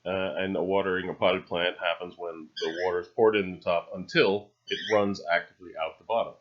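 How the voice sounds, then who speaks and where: A man with a fairly low voice talks through an unscripted voice-over. He muses haltingly, trailing through his phrases with understated, dry humor. The recording is a little dull and lo-fi. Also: fairly even intonation. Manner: halting, musing delivery with long trailing phrases. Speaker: video narrator. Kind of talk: unscripted, rambling voice-over monologue. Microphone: separately recorded voice-over.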